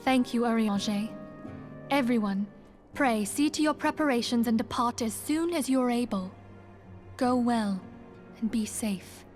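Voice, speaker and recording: Woman acting higher-voiced, gracious fantasy leader. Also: unhurried, natural voice. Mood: gentle concern. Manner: formal, gentle authority. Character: gracious, composed female leader of fantasy scholars. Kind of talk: formal video game voice acting. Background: music.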